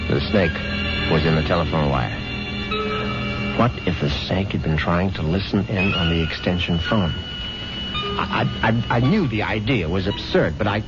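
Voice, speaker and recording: A man's voice: fairly low pitch, lightly acted. An actor playing a nervous, superstitious man who narrates his own story and often stammers. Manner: stammering, anxious. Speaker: first-person narrator. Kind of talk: 1970s radio drama acting. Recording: dull old radio recording, loud music.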